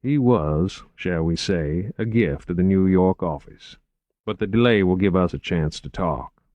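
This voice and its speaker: A refined, unflappable agent, as a man reads him. The voice is low, measured and unhurried. Dry studio sound. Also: a little mellow, no echo, highs cut off. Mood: calm.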